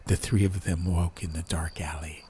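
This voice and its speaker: A man narrating a scripted audiobook in a measured, dramatic storytelling manner. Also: sits low, level tone, very noisy. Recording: ambient sound effects behind. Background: faint fantasy ambience.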